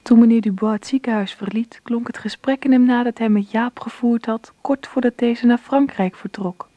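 A woman's voice: a little high, normal speed, mellow, no room sound, lightly acted. An actress playing a reflective woman narrator, reading scripted narration for a radio drama. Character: adult woman narrator telling the story, reflective.

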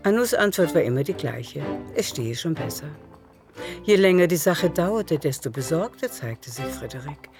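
A woman's voice: low-pitched, animated, normal speed. A woman narrating a literary radio drama in a scripted, storytelling delivery. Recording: music behind the voice.

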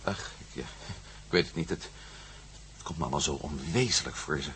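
A worried restless hero in an old radio drama, bright agitated voice, bit of hiss. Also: male voice, extremely low pitch. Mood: uneasy, doubting. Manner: agitated, halting, repeats himself. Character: Matt Meldon, anxious, restless, doubting male adventurer. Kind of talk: Dutch radio play acting.

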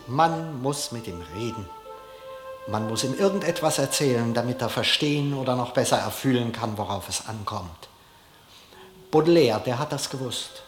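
A man giving a public lecture in a deliberate, halting way with dramatic pauses. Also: medium pitch. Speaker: film director giving a lecture. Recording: echoey hall, long reverb.

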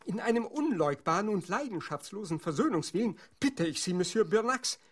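An actor playing a solemn, aggrieved gentleman in a radio play, speaking in stilted, formal oratory. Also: high voice, sing-song, fairly quick. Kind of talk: German radio play acting.

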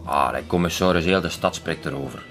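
A man's voice: deep voice, fairly quick, fairly bright tone, faint music behind. A man playing a chatty, gossipy café keeper with a Flemish accent in a radio drama, talking in a chummy, colloquial way. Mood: excited, gossipy.

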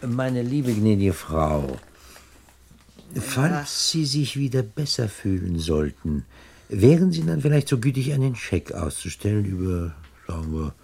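An actor playing a fussy, courteous gentleman crook in a 1960s German radio play, with flowery, hesitant politeness. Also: lowish voice, creaky, a little hiss or hum, full character voice. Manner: flowery, over-polite, hesitant, repeats himself.

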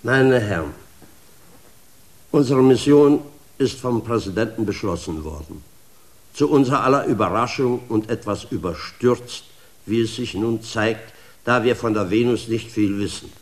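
A man's voice: fairly low pitch, unhurried, natural voice. An actor playing a grave, formal male leader of a space mission in a radio play. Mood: solemn. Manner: solemn, formal address.

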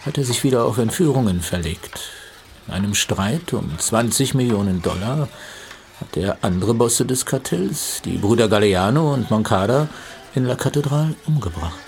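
A man's voice: on the low side, gravelly. An actor giving scripted, matter-of-fact documentary narration as a man reporting facts. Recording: faint ambient noise behind.

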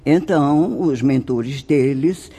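A man's voice: medium pitch, slow, warm tone, breathy edge. An actor with a Brazilian accent playing a solemn, fatherly spirit mentor who lectures formally and emphatically in a radio drama. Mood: grave, emphatic. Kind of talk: radio drama acting, didactic.

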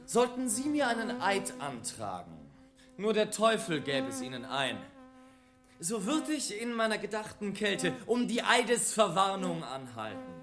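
A man's voice: high-pitched, very animated, unhurried, fairly bright tone, soft background music, full character voice. An actor playing a defiant, sardonic nobleman in a radio play, ironic and elaborately formal. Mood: defiant irony. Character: defiant, sardonic nobleman airship traveller on trial. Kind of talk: theatrical German radio play acting.

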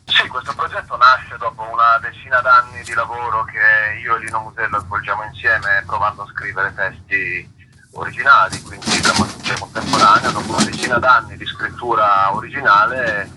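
A man's voice: on the low side, flat delivery, normal speed. A man giving articulate, reflective explanations at length as an actor and playwright in a radio interview, on a noisy line.

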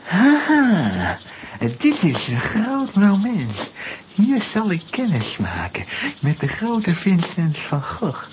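An actor playing a greedy, pompous gang boss in a children's audio drama. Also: male voice, high voice, wildly swooping pitch, takes it slowly, dull audio, background noise, exaggerated voice. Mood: gloating. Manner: pompous, grandly boastful. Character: greedy, gloating male villain, scheming gang boss.

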